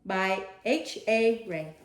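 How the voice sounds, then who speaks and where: A woman's voice: mid-range voice, takes it slowly, reverberant, soft background music. A woman reading a children's picture book aloud expressively, with dramatic delivery and playful asides to a young listener.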